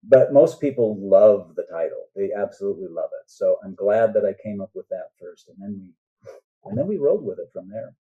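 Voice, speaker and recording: A man's voice: on the low side, expressive, brisk, narrow, tinny audio. An older man chatting casually as a guest author, rambling a little and often checking in with "right?". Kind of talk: casual interview chat.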